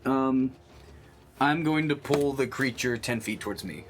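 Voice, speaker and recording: A man's voice: medium pitch, a little slow. A man playing a tabletop roleplaying game, talking casually and hesitantly as he thinks aloud. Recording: faint music behind the voice. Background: faint music.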